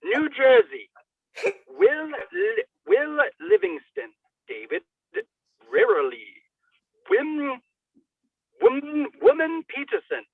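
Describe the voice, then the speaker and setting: A man's voice: very high-pitched, huge pitch swings, slow, gravelly, pauses often, dry recording. A man giving a hammy, theatrical dramatic reading with comic asides, toying with words as he stumbles over them, on a boxy telephone-like recording. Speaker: solo reader.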